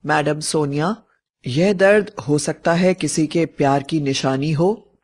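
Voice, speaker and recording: A woman voicing a polite, cool and mysterious young male college student in an animated story, with a courteous, measured manner. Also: low-pitched, voice pushed down. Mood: cool, suave.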